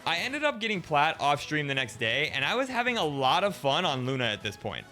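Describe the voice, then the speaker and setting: A man narrating his own climb through a competitive game in an even, explanatory voice-over. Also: fairly high pitch, a little fast, soft background music.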